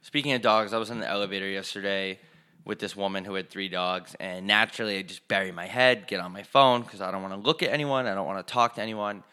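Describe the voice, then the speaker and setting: A young man with a fairly low, bright voice chats on a podcast. He tells rambling stories in a deadpan way, swears freely and mimics the people in them. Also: fast talker, clean tone. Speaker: podcast co-host. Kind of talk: casual storytelling chat. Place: apartment in Arizona.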